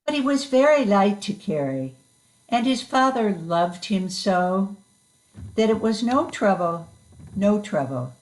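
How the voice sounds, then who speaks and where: A woman reading the part of a tender, grieving Victorian mother in a story, gentle and halting as she repeats words. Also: medium pitch, sing-song, low-quality recording. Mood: wistful. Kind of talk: dramatic reading of a classic story.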